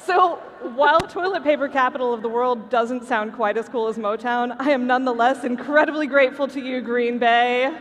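A woman's voice: a little high, brisk, hardly any pauses. An American woman from Michigan presenting scripted comic trivia to a live audience in a playful, emphatic way. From Michigan, United States. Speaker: podcast host presenting trivia. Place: convention stage before a live audience. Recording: reverberant hall stage recording, background noise.

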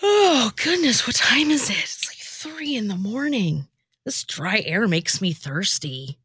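A woman's voice: mid-range voice, sing-song, unhurried, a bit bright, breathy edge, no echo, studio quality, full character voice. A woman playing a flustered, exasperated, guilt-ridden woman roused at night in a comedic radio play. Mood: startled, groggy. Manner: dramatic, exasperated.

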